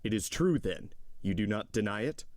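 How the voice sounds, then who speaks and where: A man's voice: fairly low pitch, expressive, normal speed, darkish tone, no room sound, natural voice. A man voicing a stern, imperious magistrate in an audiobook drama, coldly accusing his wife with harsh, contemptuous command. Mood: cold, menacing. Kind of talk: dramatic audiobook character reading.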